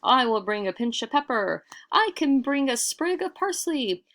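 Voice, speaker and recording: A woman's voice: high voice, big pitch swings, normal speed, fairly bright tone, pitched above the performer's own voice, full character voice. A woman voicing assorted storybook villagers who declare and exclaim. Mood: eager. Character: assorted storybook villagers, eager to outdo each other.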